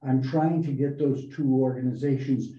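A man reporting to a town board meeting, talking in a halting way with many fillers and restarts. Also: mid-range voice, fairly even intonation. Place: town hall meeting room. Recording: echoey, muffled meeting room recording.

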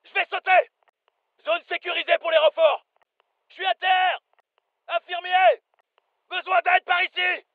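An actor playing a tough, no-nonsense special forces soldier in a shooter game, giving terse, matter-of-fact callouts. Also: male voice, extremely high pitch, little pitch movement, measured pace, long pauses, no echo, phone-quality sound. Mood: urgent. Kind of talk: military shooter game voice lines, French dub.

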